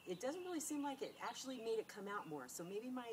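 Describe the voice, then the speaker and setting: A woman's voice: a little high, big pitch swings, fast, darkish tone. A middle-aged woman, nasal from a cold, chatting casually to camera and rambling with many fillers and restarts. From California. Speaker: beauty vlogger. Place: outdoors against a sunlit wall. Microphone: camera on a tripod. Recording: noisy outdoor camera audio.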